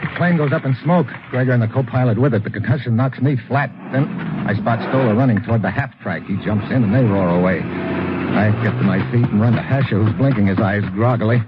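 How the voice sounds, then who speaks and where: An actor playing a tough, wisecracking American government agent, narrating and talking in a wry, clipped hard-boiled radio adventure style. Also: male voice, mid-range voice, fairly quick, close to an everyday voice. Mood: tense narration. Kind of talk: old-time radio adventure acting and narration. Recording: muffled old radio recording, music, noise.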